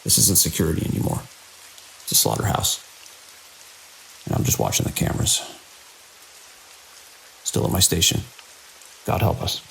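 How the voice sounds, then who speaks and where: An actor playing a grim, embittered security chief in an audio drama, speaking in terse, grave and urgent lines. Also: male voice, rumbling low, expressive, moderate pace, creaky, long pauses, a bit bright, heavily acted, low-quality recording. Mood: grim, bitter. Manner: terse, grave official log-keeping and urgent radio talk. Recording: faint hiss with rain-like noise.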